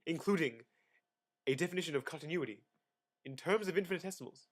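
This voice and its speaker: A man giving an amateur dramatic reading of encyclopedic text, mock-grandiose, with sudden emphatic swells and theatrical pauses. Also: fairly high pitch, animated. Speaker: amateur reader.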